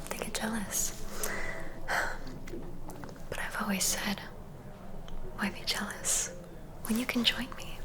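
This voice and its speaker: A woman performs a playful vampire seductress in an ASMR roleplay. She mostly whispers, teasing and flirting coyly. Her phrases are drawn out very slowly, with a temptress's poise. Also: mid-range voice, close to an everyday voice, rough recording.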